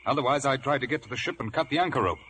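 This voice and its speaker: Calm, grave doctor for radio adventure, male actor: mid-pitched, measured, clearly noisy vintage sound. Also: natural voice. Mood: grave, thoughtful. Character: calm, thoughtful gentleman doctor in pirate adventure. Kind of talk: 1950s radio drama acting. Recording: noisy old radio recording.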